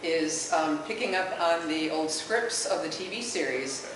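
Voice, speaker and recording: A woman's voice: low-pitched, lively intonation, a little slow. A woman speaking informally as a panelist in a discussion at a convention. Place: indoor convention panel room. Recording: very echoey, noisy room, far from mic.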